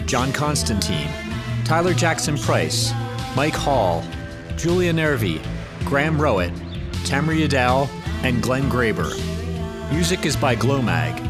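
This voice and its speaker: A man reading scripted end credits as the announcer of an audio drama podcast. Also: mid-range voice. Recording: loud guitar music behind voice.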